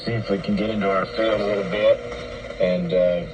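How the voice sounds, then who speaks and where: A man hosting a casual radio station talk show, speaking in a measured, halting way. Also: lowish voice, fairly even intonation. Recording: noisy shortwave radio broadcast.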